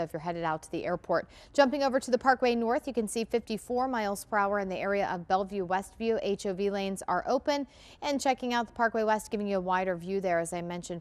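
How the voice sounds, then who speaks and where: A woman presenting a live television traffic report in a fluent, orderly way. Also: medium pitch.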